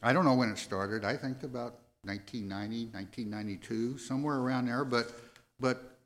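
An American man in his seventies preaching a sermon, going verse by verse in a halting, deliberate way and repeating phrases for emphasis. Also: mid-range voice, a bit bright. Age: 70s. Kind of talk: sermon, verse-by-verse Bible exposition.

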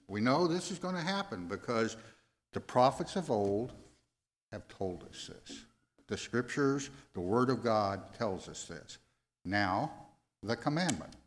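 An American man in his seventies preaching a sermon, going verse by verse in a halting, deliberate way and repeating phrases for emphasis. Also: medium pitch. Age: 70s. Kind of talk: sermon, verse-by-verse Bible exposition.

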